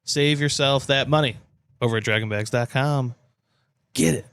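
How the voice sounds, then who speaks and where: Male co-host with a medium-pitched voice, informal chat. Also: normal speed, fairly bright tone, smooth, dry recording. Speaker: podcast co-host. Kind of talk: casual chat.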